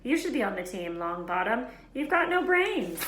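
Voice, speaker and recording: A woman's voice: a little high, sing-song, normal speed, fairly bright tone, pitched above the performer's own voice. A woman voicing a spoiled, sneering schoolboy bully with a mocking, taunting delivery. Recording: roomy echo, faint background hiss.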